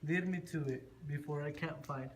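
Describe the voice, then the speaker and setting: A man's voice: on the high side, normal speed, lightly acted. A man playing a lazy, boastful wanderer in an amateur rhyming puppet show. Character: Marco, a lazy, boastful wandering male thinker. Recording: noisy amateur recording with clicks.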